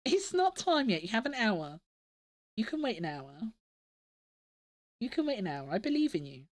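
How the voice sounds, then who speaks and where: A woman's voice: on the high side, sing-song, moderate pace, no room sound, clean studio sound. A woman streamer chatting casually to her viewers, stuffy and nasal from a cold, rambling and giggling often. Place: home desk. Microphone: desk mic.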